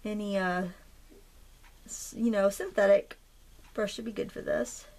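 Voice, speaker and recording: A woman hosting a craft tutorial, chatting in a relaxed, rambling way as she paints. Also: medium pitch, a little slow, gravelly. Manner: relaxed, rambling, with fillers and soft laughs. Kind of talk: casual chatty tutorial narration.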